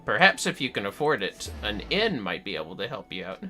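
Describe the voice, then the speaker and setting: Lively, bright-voiced man streaming a game. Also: on the low side, fairly quick, soft background music. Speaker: livestreamer playing a video game. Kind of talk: casual livestream chat.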